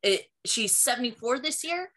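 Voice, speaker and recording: A woman's voice: fairly high pitch, lively intonation, moderate pace, fairly bright tone. A woman co-hosting a podcast on an online call, chatting casually and repeating words as she thinks aloud. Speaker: podcast co-host.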